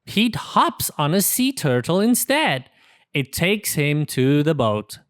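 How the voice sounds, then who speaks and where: A woman's voice: low voice, sing-song, slow pace, no room sound, clean studio sound. A woman reading a picture book aloud to young children, enunciating simple sentences carefully. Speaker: children's story reader.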